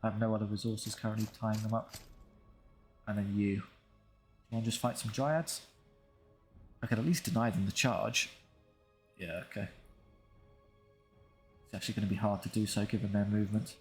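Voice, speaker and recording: A man's voice: fairly low pitch, steady tone, moderate pace, pauses often, soft background music. A man giving casual, understated commentary on his strategy game playthrough, thinking aloud and dryly talking to his units. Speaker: gaming video creator commentating his own playthrough.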